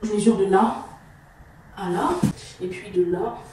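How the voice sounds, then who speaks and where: A woman's voice: mid-range voice, measured pace. A woman from Cameroon, a native English speaker with a Cameroonian accent, vlogging informally and thinking aloud as she works in an empty room, sometimes slipping in English words. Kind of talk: casual vlog chat while working. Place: empty freshly plastered room indoors. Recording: very echoey empty room, faint noise, distant mic.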